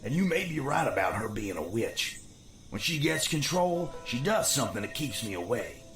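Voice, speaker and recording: An actor playing a mocking, trouble-loving ghost in a Western audio drama, with an American accent and folksy, teasing delivery. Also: male voice, medium pitch, big pitch swings, normal speed, a bit bright, reverberant, soft background music. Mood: wry. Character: gleeful, mocking ghost who relishes trouble.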